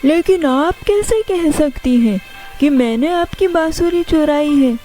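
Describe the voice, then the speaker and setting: A woman's voice: high-pitched, animated, mellow, rough recording. A woman voicing a sly, whining young boy in a children's cartoon, talking in an exclamatory way. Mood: defensive. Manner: slow, exclamatory, talking to himself. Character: sly, whining young boy who steals a magic flute. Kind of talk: Hindi children's cartoon dialogue. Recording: music under the voice.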